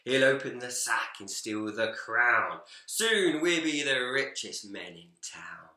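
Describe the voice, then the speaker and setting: In an echoey room, a man plays a sly storybook robber hatching a plan. The voice is very sharp and forceful, drawing out words with conspiratorial relish. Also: mid-range voice, expressive, slow, heavily acted. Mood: sly, plotting. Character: two scheming male crooks plotting a robbery, chuckling and sly. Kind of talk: picture book read aloud.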